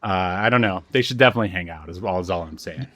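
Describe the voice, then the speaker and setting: A man's voice: low-pitched, quick pace, fairly bright tone. A man co-hosting a podcast in casual, unscripted chat, hesitant and understated with dry humour. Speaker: podcast co-host.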